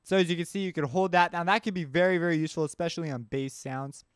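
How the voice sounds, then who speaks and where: A man with a fairly high, clean voice walks through music software in an informal way. He explains each step as he demonstrates it, recorded dry and close, with musical demos around him. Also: fairly even intonation, fast talker, smooth, dry recording. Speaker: music production tutorial host. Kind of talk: casual screen-recorded software tutorial.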